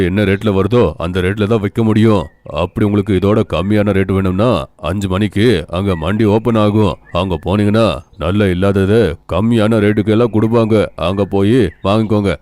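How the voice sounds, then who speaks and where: A man acts a blunt market trader in a cartoon moral tale. He has a fairly low voice and explains himself brusquely, in a dry studio-like sound. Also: little pitch movement, brisk, darkish tone, dry recording, natural voice. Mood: dismissive.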